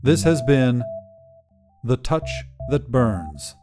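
A man's voice: medium pitch, sing-song, extremely slow, over music. A man reading scripted short fiction as the narrator. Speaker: story narrator.

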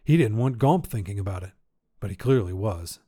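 A man's voice: fairly low pitch, lively intonation, fairly quick, dark tone, slightly rough. A man reading scripted short fiction as the narrator and host of a story podcast.

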